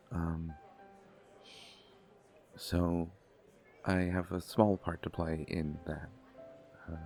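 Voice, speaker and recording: Man playing a deep, hesitant, gentle young god. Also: vocal fry, heavily acted. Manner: hesitant, stammering, softly polite. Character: shy, gentle, blind young male god of desire. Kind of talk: intimate audio roleplay of a date.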